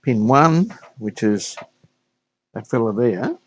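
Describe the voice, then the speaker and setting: A man giving a casual, unscripted hands-on repair walkthrough, thinking aloud haltingly and addressing viewers informally as a hobbyist host. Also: medium pitch, very animated, highs cut off, a little hiss or hum. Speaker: hobbyist host of a vintage radio restoration series.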